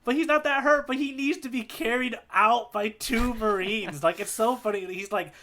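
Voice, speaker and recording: A man co-hosting a podcast, chatting casually in an animated, slangy way with plenty of "like". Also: very high-pitched, normal speed, a little hiss or hum. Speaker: podcast co-host. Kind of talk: casual chat, manga readalong commentary.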